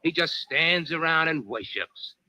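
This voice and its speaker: A man's voice: fairly high pitch, expressive, unhurried, bright, forceful tone, full character voice, rough recording. A man playing a needling, slangy barroom pal in an old-time radio adventure. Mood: mocking. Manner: mocking, slangy. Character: needling, jeering male barroom pal of a jungle guide. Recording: vintage radio recording.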